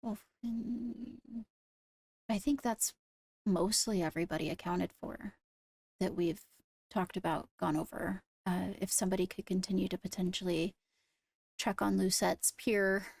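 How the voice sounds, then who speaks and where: A woman playing a caring, earnest ruler in an improvised Minecraft roleplay, speaking in a measured, hesitant, reassuring way. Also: mid-range voice, fairly even intonation, vocal fry, a little mellow, no echo. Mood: concerned, reassuring. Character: caring, earnest female ruler holding court. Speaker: player leading a roleplay court.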